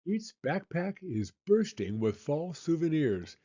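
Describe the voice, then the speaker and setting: Man, storybook narrator, measured pace, expressive pitch, softened dark tone, slightly muffled recording. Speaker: children's story reader.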